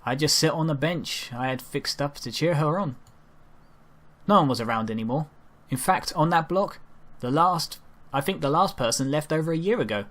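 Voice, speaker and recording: Higher-pitched man reading a story aloud. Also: a bit bright. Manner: halting amateur delivery with stumbles over lines. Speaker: amateur reader narrating a fan fiction story. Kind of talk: first-person story read-aloud.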